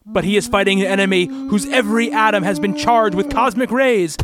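A man's voice: high voice, fairly quick. A man narrating a comic-book radio play in a melodramatic, suspenseful scripted style. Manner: dramatic, suspenseful.

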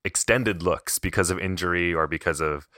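Low, bright-toned joking podcast co-host. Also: male voice, normal speed, no echo, clean studio sound. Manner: joking, chatty, trailing off mid-thought. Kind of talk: casual fantasy hockey podcast chat.

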